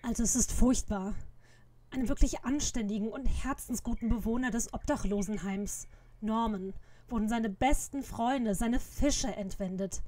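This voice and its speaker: A fairly high-voiced teenage girl sleuth played by a woman, earnest and caring. She speaks sympathetically, in the style of a parody detective radio play. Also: normal speed, dark tone, lightly acted. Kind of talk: parody detective audio drama.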